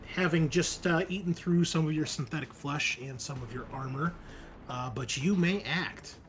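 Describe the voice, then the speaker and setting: A man's voice: on the high side, moderate pace, fairly bright tone, a little hiss or hum. A man working as game master, narrating a horror roleplaying game, moving between dramatic description and casual rules talk.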